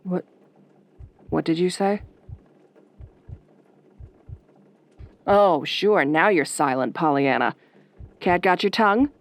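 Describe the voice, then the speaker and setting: A woman acting as a sardonic late-night radio DJ in a horror audio roleplay, soft-spoken and velvety with a dry, wry delivery. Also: lowish voice, unhurried.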